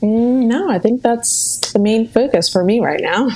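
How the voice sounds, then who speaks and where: A woman in her late thirties from Pennsylvania, whose first language was Italian, chatting as a guest in a relaxed, friendly way. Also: fairly high pitch, measured pace. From Pennsylvania, USA. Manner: relaxed, friendly, laughs easily. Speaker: hairdresser and guest. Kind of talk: casual interview chat.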